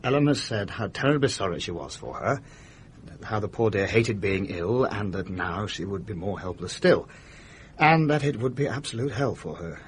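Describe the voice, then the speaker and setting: An actor playing a troubled, hesitant gentleman in a radio mystery drama, speaking in a well-mannered, emotional way. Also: lowish voice, animated, moderate pace, slight background noise, natural voice. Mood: troubled recounting. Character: troubled, hesitant gentleman grieving over his aunt.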